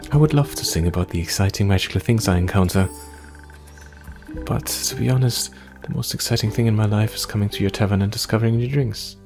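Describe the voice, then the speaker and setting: A man voicing an excitable, anxious young forest dweller who longs to be a bard, rambling and self-deprecating, in a fantasy game. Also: lowish voice, creaky.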